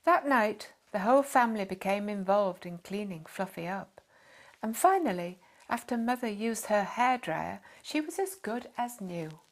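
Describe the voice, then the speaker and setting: A woman narrating a children's story in an expressive, scripted storytelling style. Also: mid-range voice, normal speed. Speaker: storyteller reading a short story.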